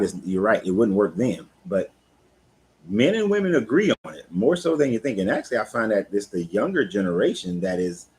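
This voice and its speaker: A very low-pitched man in his fifties talks in a relaxed, candid way, like a coach chatting with his audience on a live stream. His delivery is loose and conversational, and he stops now and then to read out viewer comments. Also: slightly rough, poor audio. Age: 50s. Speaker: life coach hosting a live talk. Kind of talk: casual live-stream chat.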